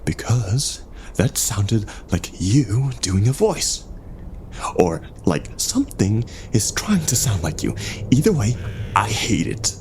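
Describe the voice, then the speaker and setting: A man's voice: lowish voice, very animated, slow, a little mellow, a little hiss or hum, pitched above the performer's own voice, full character voice. A man voicing a tense, suspicious young woman who talks in a blunt, accusing way. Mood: disgusted, uneasy.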